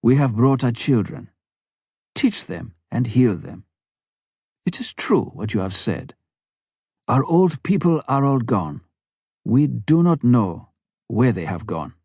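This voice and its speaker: An elderly village leader, acted out by a male audiobook reader, speaks in plain, earnest statements. He is solemn and grateful, his pitch lifted above the reader's usual and moving widely. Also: very animated, slow pace, pauses often, mellow, husky, dry recording, studio quality, exaggerated voice. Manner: simple, earnest short declarations. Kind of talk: audiobook character voice.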